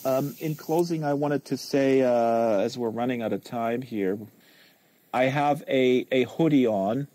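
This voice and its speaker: A man hosting a casual livestream, chatting in a halting, rambling, conversational way. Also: mid-range voice, dark tone. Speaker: host of a live book-reading stream.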